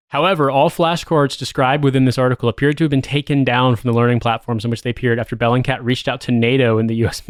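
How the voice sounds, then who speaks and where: A man's voice: mid-range voice, no echo. Man reading news aloud, dry mic. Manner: measured reading, amused and incredulous in chat. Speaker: podcast host. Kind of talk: reading an article aloud, then casual chat.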